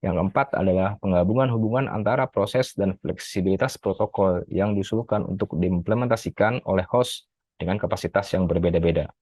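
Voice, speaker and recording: Deep-voiced man reading a summary. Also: fairly quick, no echo. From Indonesia. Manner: steady reading with occasional self-corrections. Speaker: graduate student presenting an article review. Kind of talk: reading a prepared academic summary.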